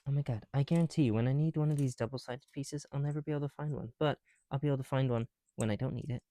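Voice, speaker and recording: A man's voice: medium pitch, steady tone, racing, mellow, dry recording. A man making a casual ASMR video, chatting in a self-deprecating way with small asides as they go. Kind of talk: ASMR, casual chat while building bricks. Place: on the bedroom floor at night.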